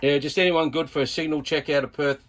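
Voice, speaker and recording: A man's voice: fairly high pitch, fairly even intonation, fast, very noisy. A man with an Australian accent gives a casual, hands-on radio gear review as a hobbyist, talking in a laid-back, colloquial, blokey way.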